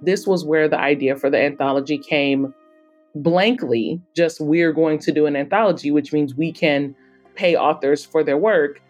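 A woman's voice: low voice, moderate pace, darkish tone, dull audio, soft background music. A woman speaking conversationally as a guest in a podcast interview, explaining her work. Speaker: podcast guest, author and editor-in-chief.

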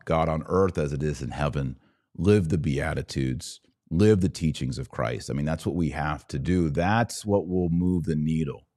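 A man's voice: rumbling low, moderate pace, darkish tone. An American man hosting live religious and political commentary, speaking in an emphatic, preachy yet conversational way. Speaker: podcast host and religious commentator.

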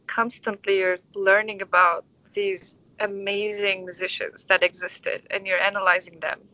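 Bright-voiced young Turkish woman, thoughtful. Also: medium pitch, dry recording, muffled recording. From Istanbul, Turkey. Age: 20s. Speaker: interview guest, orchestral conductor. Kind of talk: reflective conversational interview answers.

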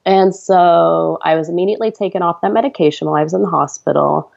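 Woman, 30s, low pitch, gravelly, earnest chatty storytelling, podcast guest. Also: fast talker, a little mellow, muffled recording. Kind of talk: conversational storytelling.

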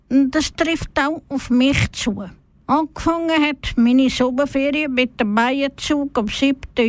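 Woman, Swiss German accent, radio reporter telling her own story plainly. Notably high pitch, close dry sound. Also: no echo, poor audio. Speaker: radio presenter. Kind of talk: personal radio report, simply told.

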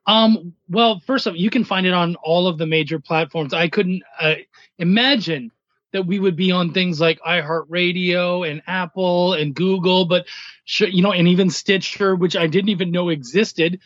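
A man with a fairly high voice chats casually as an interview guest, like a writer talking shop. The recording is dry and a little dull and lo-fi. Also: steady tone, moderate pace, a bit bright, no room sound. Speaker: podcast guest, comics writer and editor.

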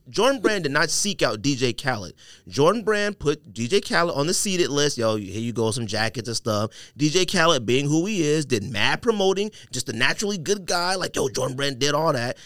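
A man's voice: on the high side, a bit bright, no echo, studio quality. An American man hosting a casual sneaker podcast, talking in slangy, opinionated banter and repeating himself for emphasis. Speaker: podcast host.

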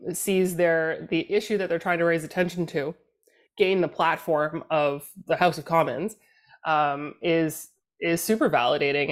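A Canadian woman in her thirties, a political communications guest on a casual podcast discussion, talking in a halting way as she searches for words. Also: deep voice.

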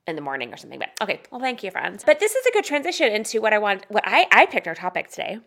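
A woman in her forties co-hosting a podcast, chatting candidly and excitably. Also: medium pitch, racing. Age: 40s. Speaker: podcast co-host. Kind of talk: casual chat.

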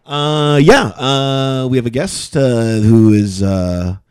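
A man co-hosting a podcast in casual chat, often laughing and halting with many fillers and restarts. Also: mid-range voice, darkish tone, clean tone. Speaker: podcast co-host.

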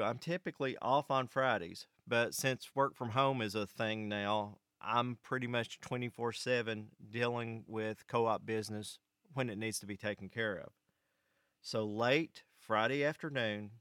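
Clear-voiced American man telling an anecdote. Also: medium pitch, a bit bright, dry recording, clean studio sound. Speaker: vlog host and electric cooperative employee. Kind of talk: first-person anecdotal monologue to an audience.

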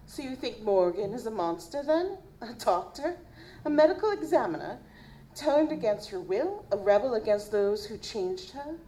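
A woman playing an elegant, composed vampire in a noir audio drama, formal, poised and deliberate. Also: on the high side, sing-song, mellow, low-quality recording. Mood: challenging. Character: elegant, composed female vampire who hunts monsters. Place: live stage performance at a convention. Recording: live stage, faint background noise.

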